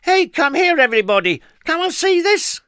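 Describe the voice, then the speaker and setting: A mischievous little troublemaker, voiced by a man reading a storybook, all shrill and loud. She shouts and taunts in a high, cheeky voice. The sound is dry and close. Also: very animated, unhurried, dry recording, full character voice. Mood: shouting. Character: mischievous, naughty little woman.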